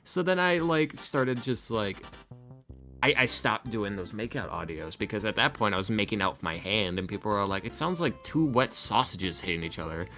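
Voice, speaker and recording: Young male podcast guest, lowish voice, slangy self-mocking banter over faint music. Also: normal speed. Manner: animated, self-deprecating, exasperated joking, slangy. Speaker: ASMR roleplay audio creator, podcast guest. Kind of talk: casual podcast chat.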